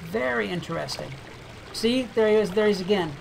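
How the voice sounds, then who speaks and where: A game video creator giving casual gameplay commentary, thinking aloud in a relaxed, chatty way. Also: mid-range voice, fairly quick, vocal fry, noisy background. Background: game music.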